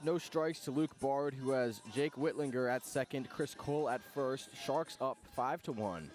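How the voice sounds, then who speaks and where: A man's voice: mid-range voice, darkish tone. A man calling live baseball play-by-play on the radio in clipped phrases, following the action as it unfolds.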